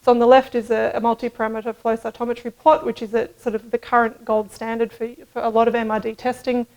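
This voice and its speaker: A middle-aged woman giving a scientific conference talk at a podium microphone, explaining in a measured way with some hedging. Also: on the high side, little pitch movement, a little mellow, rough recording. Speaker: medical researcher presenting at a conference. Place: indoor conference room, at a lectern.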